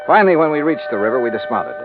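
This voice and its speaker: An actor playing a cool, wry American investigator in a 1950s radio crime drama, brisk and laconic, both narrating and in dialogue. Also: male voice, mid-range voice, sing-song, close to an everyday voice. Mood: measured narrating. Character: cool wry male insurance investigator, narrating his case. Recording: tinny old radio recording with music.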